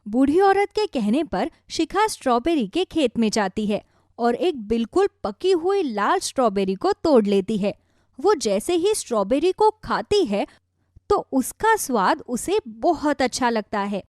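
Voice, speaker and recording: A woman's voice: high voice, big pitch swings, unhurried, darkish tone, no room sound, studio quality. A woman narrating a scripted children's story.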